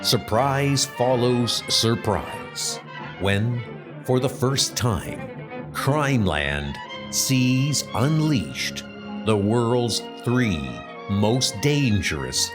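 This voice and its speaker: A man's voice: medium pitch, animated, drawn-out words, music in the background. A man narrating a superhero comic script in a theatrical, suspenseful manner.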